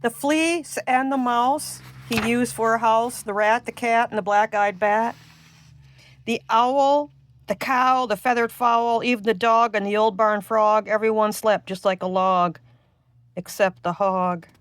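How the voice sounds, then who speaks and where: Slow-paced woman reading a rhyming storybook. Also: fairly high pitch, fairly even intonation. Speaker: storybook reader. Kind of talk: scripted read-aloud of a rhyming children's storybook.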